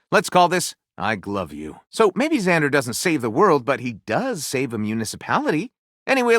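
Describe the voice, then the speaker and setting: A man's voice: fairly high pitch, sharp tone, no room sound, studio quality. An actor playing a glib male would-be author in a video game, narrating pulp stories with wry, mock-grand theatrical delivery. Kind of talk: scripted game dialogue, comic narration.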